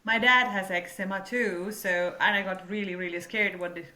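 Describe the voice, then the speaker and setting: A young woman from Lithuania with a Lithuanian accent, a podcast guest speaking slowly with halting non-native grammar. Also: medium pitch, fairly even intonation, sharp tone, reverberant, a little hiss or hum. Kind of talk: casual interview.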